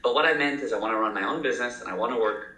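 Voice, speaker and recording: A man's voice: medium pitch, rapid-fire, pushed and bright. A man in his thirties with a mixed American and South African accent, telling his story as a guest in an upbeat, talkative way. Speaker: entrepreneur guest. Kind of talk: casual interview talk. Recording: echoey room.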